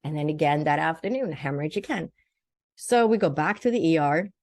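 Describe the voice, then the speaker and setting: A woman's voice: low voice, animated, a little fast, darkish tone, no room sound, studio quality. An older woman from Sweden tells a personal story in vivid detail, as a guest in an interview. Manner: vivid, detailed telling with acted-out quotes. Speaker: guest, naturopathic doctor and spiritual teacher.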